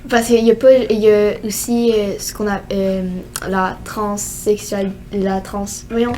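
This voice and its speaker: A woman's voice: medium pitch, flat delivery, a little slow, mellow, slight background noise. Chatty Québécois teen girl, lots of fillers. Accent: Québécois French. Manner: rambling, many fillers like "genre" and "euh". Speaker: co-host. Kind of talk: casual podcast chat.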